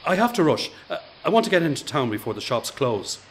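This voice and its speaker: An actor playing a considerate workmate who is in a hurry, in a radio play. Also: male voice, medium pitch, very animated, quick pace, slight background noise, close to an everyday voice. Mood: hurried, concerned. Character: hurried, considerate male workmate.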